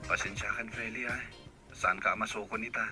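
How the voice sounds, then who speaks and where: A man's voice: on the low side, steady tone, normal speed, music in the background, close to an everyday voice. A man playing an earnest, polite young boyfriend in a radio drama, with an Ilocano Filipino accent. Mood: earnest, apologetic.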